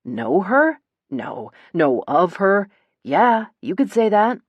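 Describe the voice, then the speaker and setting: A woman acting out an angry young man in an audiobook, protective and bitter. The voice is low and close, with cold, clipped words pushed through a tight jaw. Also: animated, measured pace, no echo, muffled recording. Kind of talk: audiobook character dialogue.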